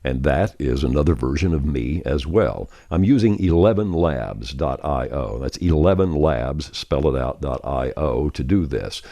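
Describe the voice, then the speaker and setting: Very deep, mellow older man, animated delivery. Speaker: radio veteran, podcast host. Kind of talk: conversational scripted commentary on radio.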